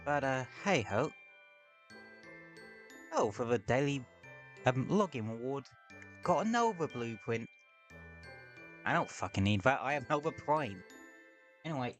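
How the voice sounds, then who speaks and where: A man's voice: mid-range voice, very animated, measured pace, pauses often, faint music behind. A man streaming a game, talking casually in rambling, sweary asides at home. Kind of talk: casual gameplay commentary. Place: home room with window open.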